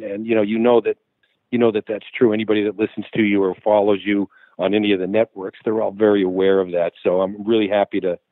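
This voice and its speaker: A man's voice: lowish voice, no room sound. A male guitarist, the guest in an interview, telling stories in a loose, rambling way full of restarts and fillers over the phone. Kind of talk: casual interview talk. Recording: tinny phone line.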